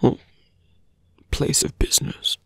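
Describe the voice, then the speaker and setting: A man playing a seductive, teasing fantasy villain in an ASMR roleplay, breathy and often whispering, with a languid, smugly menacing, taunting manner. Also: takes it slowly, fairly bright tone, no echo, clean studio sound. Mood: smug, persuasive.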